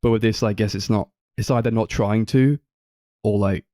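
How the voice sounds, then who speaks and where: A young man with a low-pitched, mellow voice talks casually as a film podcast co-host. He feels his way through his points hesitantly, and the sound is close and dry. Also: fairly even intonation, normal speed, dry recording.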